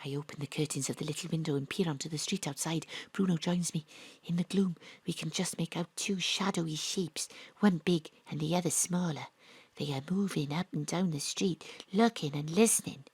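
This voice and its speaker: A woman narrating and playing a bold private investigator, often hushed and conspiratorial. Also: low-pitched, a little fast, breathy edge, dry recording. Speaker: narrator and lead. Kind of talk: first-person drama narration. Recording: dry home studio.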